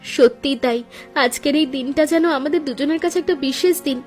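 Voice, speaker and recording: A woman's voice: high voice, a little fast, a little mellow, faint music behind, natural voice. A woman playing a gracious young daughter-in-law, the elder sister in a cartoon story, earnest and polite. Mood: warm, decisive.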